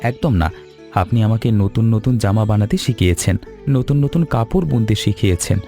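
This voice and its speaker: A man's voice: lowish voice, lively intonation, fairly quick, mellow. A man plays a caring weaver in a cartoon tale. He talks eagerly and earnestly, often pleading like a devoted host. Music runs behind the voice. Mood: grateful, eager. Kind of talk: Bengali animated story dialogue.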